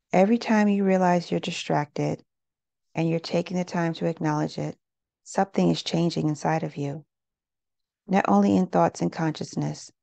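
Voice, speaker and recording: A woman leading a guided meditation in a gentle, unhurried, measured way, leaving pauses between phrases. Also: on the low side, gravelly, dry recording. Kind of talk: guided meditation, scripted narration.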